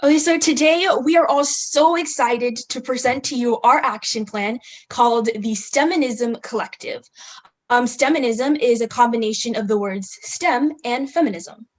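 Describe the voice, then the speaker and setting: A woman's voice: a little high, normal speed. A young woman from California giving a student group presentation, reading from slides with frequent ums. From California, United States.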